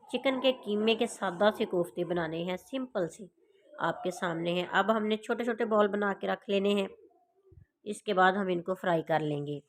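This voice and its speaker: A woman's voice: medium pitch, slight background noise. A woman with a sore throat casually narrates a recipe step by step, addressing her viewers directly as a home cook hosting her channel. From Pakistan. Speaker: home cooking channel host.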